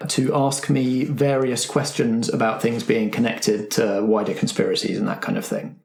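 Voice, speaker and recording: A man with a slightly husky voice, introducing and hosting a tabletop roleplaying production as game master. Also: mid-range voice, fairly even intonation, moderate pace.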